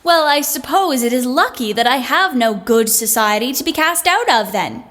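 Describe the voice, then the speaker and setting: A woman's voice: high voice, animated, normal speed, fairly bright tone, slight background noise. A woman playing a bookish, outspoken fifteen-year-old girl in a period audio play, frank and literate. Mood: defiant.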